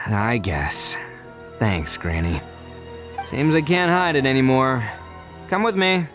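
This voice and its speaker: An actor playing a brash, big-hearted young gang leader in a video game, boisterous and colloquial with boyish bravado. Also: male voice, medium pitch, expressive, slow pace, long pauses. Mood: sheepish, sincere. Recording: faint game music behind.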